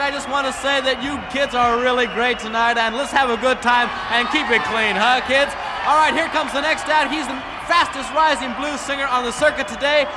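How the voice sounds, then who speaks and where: Very high-pitched, cheesy disc jockey. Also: male voice. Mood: announcing. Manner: corny, eager to please, hip slang. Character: cheesy upbeat AM radio disc jockey named Wink. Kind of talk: live comedy sketch. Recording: noisy live comedy recording with crowd.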